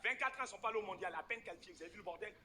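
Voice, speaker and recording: A man doing animated, exclamatory stand-up comedy, teasing a live audience from the stage. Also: sits high, rapid-fire, raised voice. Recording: noisy live stage recording with ambience.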